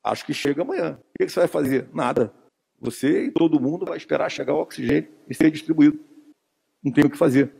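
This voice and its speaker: A man's voice: a little high, animated, fast, gravelly, mellow, slight background noise. A man talking spontaneously, recounting things in an unscripted way.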